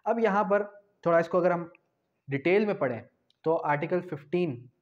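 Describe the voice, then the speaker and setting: Higher-pitched young Pakistani man teaching. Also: moderate pace. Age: 20s. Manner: didactic, poses questions and answers them, repeats key points. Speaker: law lecturer. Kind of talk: explanatory lesson at a whiteboard, switching into English legal terms. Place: small indoor room with whiteboard. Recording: dull, lo-fi sound.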